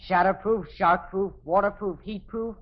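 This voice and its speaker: An eager, wisecracking teenage boy acted by a man in a 1950s radio comedy. His voice is boyish and fairly high, with gee-whiz delivery. The recording is dull and old. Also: steady tone, moderate pace, close to an everyday voice. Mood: eager, joking. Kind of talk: 1950s radio sitcom acting.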